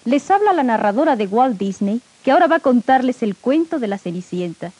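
A woman's voice: fairly high pitch, very animated, brisk, a little mellow, husky. A woman narrates a scripted children's story, speaking directly to her young listeners. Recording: old 78 rpm record, music behind.

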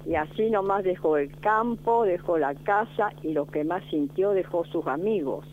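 A woman in her nineties, born in Italy, telling her memories in a slow, deliberate way as a guest. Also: lowish voice. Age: 90s. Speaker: school founder, guest. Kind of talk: reminiscing interview. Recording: tinny phone-like line, faint noise.

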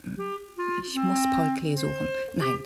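An actress playing a self-possessed, comfort-loving female cat in a radio drama, musing in a genteel, theatrical way with a husky, breathy voice. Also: sits high, wildly swooping pitch, takes it slowly, loud music behind the voice, exaggerated voice. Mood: musing, cosy.

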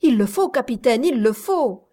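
A woman's voice: sits high, animated, very slow, dark tone, voice pushed up, heavily acted. Woman reading as an ardent, pleading young lady. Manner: pleading, urgent, exclamatory.

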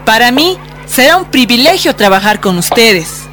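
A woman playing a formal, admonishing schoolteacher in her thirties in a radio drama, speaking in measured phrases. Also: on the high side, big pitch swings, fairly bright tone. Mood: formal, earnest. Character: earnest 35-year-old schoolteacher addressing an unruly class. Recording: music and classroom chatter behind.

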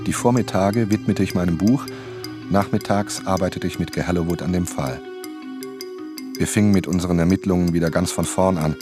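An actor playing a reflective writer who narrates a crime radio drama in a scripted first person. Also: male voice, deep voice, steady tone, a little fast, music in the background, lightly acted. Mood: reflective, measured.

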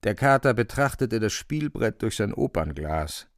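A man narrating a literary radio play with a creaky voice. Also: on the low side, very animated, moderate pace, no echo, studio quality.

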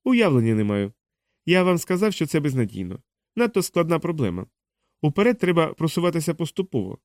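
A man's voice: fairly high pitch, sing-song, a little fast, a little mellow, dry recording, pitched above the performer's own voice. Cautious male cyberneticist for audiobook, read by a man, questioning, doubtful, dry close sound. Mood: resigned, doubtful.

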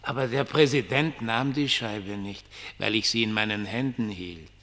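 An actor in a German radio play as a ragged homeless dreamer, mocking, prophetic and pitying, with theatrical, declamatory delivery. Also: male voice, lowish voice, moderate pace, a bit bright, natural voice. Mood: dreamy, pitying. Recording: long reverberant hall.

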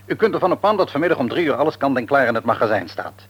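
An actor playing a busy, authoritative middle-aged father and office manager in a radio drama, brisk and businesslike. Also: medium pitch, big pitch swings, husky.

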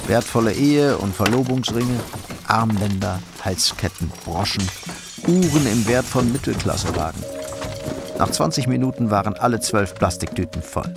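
A man narrating a scripted crime radio play in a measured, matter-of-fact way. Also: lowish voice, expressive, vocal fry, over music.

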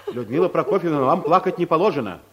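A meek, bumbling office man, played by an actor in a radio comedy. He talks fast and swings up and down in pitch, always placating and apologetic. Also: animated, dull audio, natural voice. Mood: flustered, placating. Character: timid, bumbling, apologetic male office clerk. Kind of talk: radio comedy play acting.

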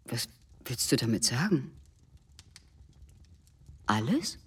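An actress playing a composed, watchful shopkeeper's wife in a German radio drama, measured and questioning. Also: female voice, very deep voice, big pitch swings, a bit bright, breathy edge, distant mic, exaggerated voice. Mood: probing.

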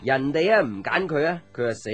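A man's voice: fairly high pitch, a little fast, breathy edge. An actor with a Hong Kong Cantonese accent playing a playful, teasing young man, a caring friend, in a light conversational radio drama. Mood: playful, mischievous. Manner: teasing, joking, animated with exclamations. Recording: music under the voice.

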